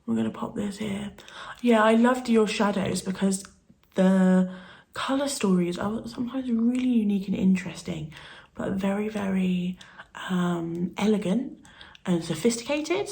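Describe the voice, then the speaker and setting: A woman in her thirties chats at home while doing her makeup, her voice still croaky from an early start. She rambles warmly with soft laughs and plenty of fillers, at an ordinary pitch. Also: a little slow. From United Kingdom. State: croaky morning voice. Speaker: beauty vlogger. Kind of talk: casual get-ready-with-me chat.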